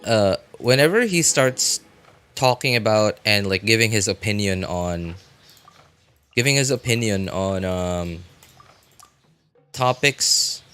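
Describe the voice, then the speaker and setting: A man giving casual live game commentary as a streamer, rambling and halting, often breaking off mid-thought to react. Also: on the low side, expressive, a bit bright, clear, resonant tone, soft background music.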